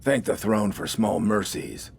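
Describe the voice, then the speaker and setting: A man voicing a gruff, bossy, scornful army corporal in an audio story, barking curt, sarcastic orders. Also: on the low side, lively intonation, unhurried, a little mellow, pitched above the performer's own voice. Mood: exasperated.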